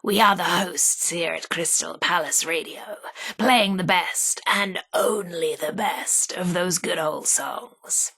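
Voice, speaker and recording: A vintage radio hostess from a video game, genteel and theatrical. Her voice is breathy and husky with a creaky edge, at a medium pitch. She presents at an unhurried pace, with a flirty, teasing lilt. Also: bright, forceful tone, heavily acted. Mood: genteel, playful. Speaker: radio host. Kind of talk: old-time radio presenting with playful banter.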